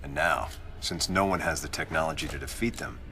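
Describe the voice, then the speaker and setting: An actor playing a grave, calm military commander in a sci-fi game, speaking in a measured, formal, authoritative way. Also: male voice, very deep voice, slight background noise, heavily acted. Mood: grave, analytical. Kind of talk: dramatic video game cutscene dialogue.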